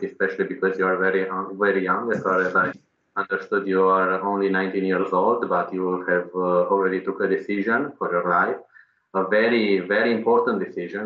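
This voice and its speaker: Deep-voiced formal male interviewer, echoey. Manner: formal and courteous, slow and measured. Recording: dull, echoey room sound.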